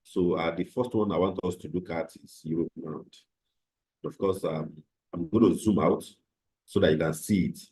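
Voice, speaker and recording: A man teaching a live online trading class, slightly hoarse from a cold, explaining step by step with fillers over a computer microphone. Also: sits low, steady tone, moderate pace, darkish tone. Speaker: trading instructor.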